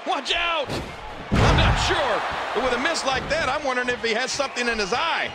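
A man playing an excitable, exclamatory color commentator in a wrestling video game. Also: extremely high pitch, fairly quick, heavy background noise. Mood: excited. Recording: loud crowd and music behind. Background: arena crowd, music.

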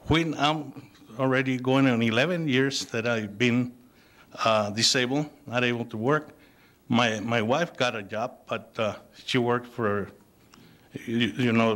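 A middle-aged man with a Spanish accent, speaking haltingly with many fillers at a city council public comment, into a podium microphone in a council chamber. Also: medium pitch, a bit bright. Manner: halting, with frequent fillers and restarts. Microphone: podium microphone on a gooseneck.

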